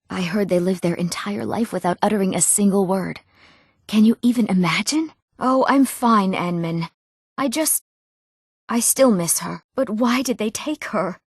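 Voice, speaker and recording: An actress voicing an eager, earnest young townswoman in a fantasy video game, speaking clearly and expressively. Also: medium pitch, dry recording, clean studio sound, natural voice. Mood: eager, curious, then worried, sad. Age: young adult. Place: recording studio.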